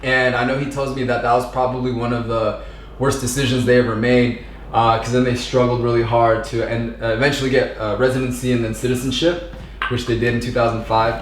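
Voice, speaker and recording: A man in his thirties from Southern California chats as a podcast guest. He rambles easily, with filler words and slang, in a mid-pitched voice. The sound bounces around a noticeably echoey room. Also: flat delivery, fairly quick, a little hiss or hum. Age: 30s. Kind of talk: casual conversation.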